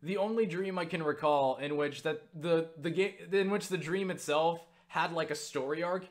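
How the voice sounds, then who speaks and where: A young man casually recounting a story for viewers, excitable and full of swearing and filler words. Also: a little high, moderate pace. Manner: excitable, swears freely, lots of fillers and laughs. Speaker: YouTuber answering viewer questions.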